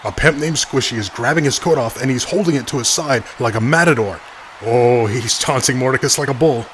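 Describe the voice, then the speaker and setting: A keen wrestling commentator, played by a man, with a medium-pitched voice. He calls the action quickly over clear background noise. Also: fairly bright tone, natural voice. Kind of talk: sports commentary.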